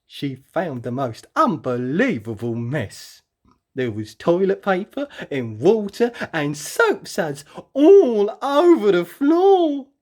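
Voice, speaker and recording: A woman reading a children's story aloud expressively, with dramatic emphasis and drawn-out words. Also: fairly low pitch, big pitch swings, darkish tone. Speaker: storybook reader.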